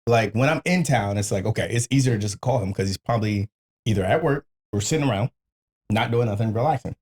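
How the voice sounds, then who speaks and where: A man's voice: fairly low pitch, fast, darkish tone. A man in a casual podcast chat, blunt and slangy, swearing freely and acting out the conversations he quotes. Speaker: podcast host.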